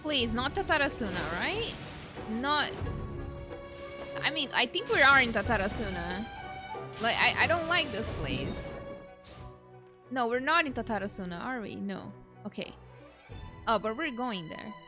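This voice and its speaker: A woman's voice: a little high, sing-song, unhurried, long pauses. A woman streamer giving casual, chatty, reactive commentary while playing a game. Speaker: gaming streamer. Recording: game music clearly behind the voice.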